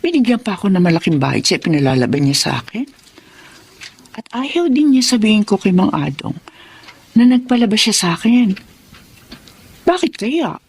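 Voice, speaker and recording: A woman's voice: mid-range voice, sing-song, moderate pace, pauses often, darkish tone, husky. An actress playing a working Filipino mother, a kindly and easily alarmed laundrywoman, in a radio drama. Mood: puzzled.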